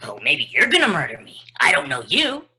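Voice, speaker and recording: Woman as brash young man, confrontational, paranoid streak, sharp voice, swooping pitch, stage play read-through. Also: wildly swooping pitch, fairly quick, slight background noise, full character voice. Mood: paranoid panic. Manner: dramatic, confrontational. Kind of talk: stage play table reading.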